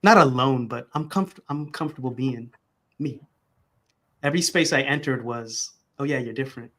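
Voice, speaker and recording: A man's voice: medium pitch, normal speed, a bit bright. A man who grew up in America, a podcast guest speaking reflectively and conversationally, restarting his sentences often.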